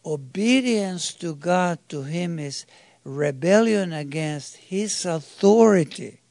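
A man preaches a sermon in a slightly high voice with a gravelly edge. He talks slowly, emphatic and halting, often restarting and putting questions to his listeners. The recording is dry and close. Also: expressive, a little mellow, no echo. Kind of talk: sermon to a congregation.

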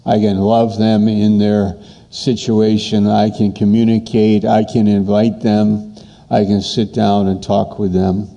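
Male pastor, dark mellow tone, unhurried emphatic sermon delivery. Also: fairly low pitch, level tone, vocal fry, highs cut off. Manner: repetitive, emphatic preaching with pauses.

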